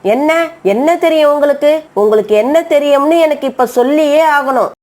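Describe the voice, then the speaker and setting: Woman as spendthrift cartoon mother crow: high voice, defiant, dismissive, quick to retort. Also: darkish tone, lightly acted. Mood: indignant. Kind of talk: Tamil animated moral story acting.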